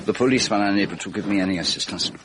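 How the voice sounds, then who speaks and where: A man's voice: on the low side, natural voice. Man playing courteous, precise foreign detective, noisy background. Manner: formal, precise, courteous, deliberate phrasing. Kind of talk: radio mystery drama acting.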